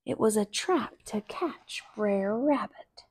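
A woman in her thirties reading a children's story aloud in an expressive, deliberate way. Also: medium pitch, slightly rough. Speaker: storybook reader. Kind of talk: children's read-aloud narration. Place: small living room, indoors on a couch.

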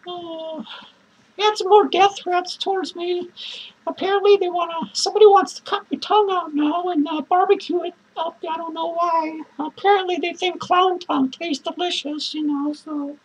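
A woman playing an unhinged, drunk male clown who rambles crudely, cackles manically and lurches between shouts and mutters. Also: very high voice, fairly even intonation, normal speed, rough recording. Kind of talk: improvised character monologue to viewers.

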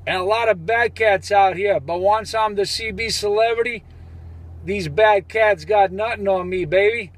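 A man's voice: high voice, moderate pace. A man bantering boastfully as a CB radio operator, repeating his catchphrases.

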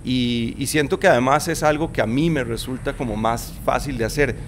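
A man's voice: mid-range voice, fairly quick, slight background noise. A man in his forties with a Costa Rican Spanish accent, a guest in a casual interview on a shaded veranda, speaking thoughtfully with many restarts. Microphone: studio mic on boom arm.

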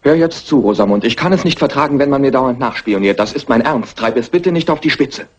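An evasive young husband, talking fast in a husky mid-pitched voice. He is defensive yet compliant, in a dubbed film drama. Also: expressive, dull audio. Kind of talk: German film dub acting.

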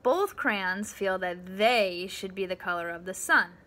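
Woman, thirties, bright mid-pitched voice, measured storybook reading. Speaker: school staff member reading aloud. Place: living room at home.

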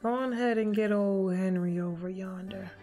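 A young woman livestreaming a life-sim game, chatting casually with her viewers in a lively, joking, profane way on a headset mic. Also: medium pitch, normal speed. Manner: lively, joking, talks back to chat, profane slang. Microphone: headset mic at desk. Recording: game music playing behind.

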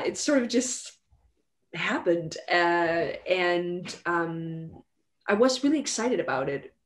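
A young woman from Denmark chats over a video call in English, her native tongue being Danish. Her voice sits slightly low, and she rambles easily with fillers. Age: young adult. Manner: chatty, many fillers and likes. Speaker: guest singer. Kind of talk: casual interview chat. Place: home room indoors. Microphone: headset on video call.

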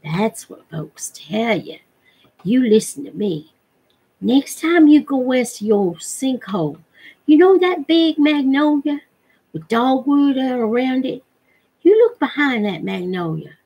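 An excitable backwoods boy, voiced by a woman reading a storybook, insists on his tall tales. The voice is husky, with folksy rural dialect. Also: sits high, takes it slowly, voice pushed up, exaggerated voice, poor audio. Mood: insistent.

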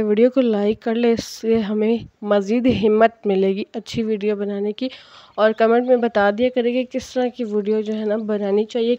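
A woman's voice: mid-range voice, warm tone, no echo. A woman from Kashmir, chatting and explaining to viewers as she narrates her casual village daily-life vlog. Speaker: village vlogger. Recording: dull lo-fi phone recording.

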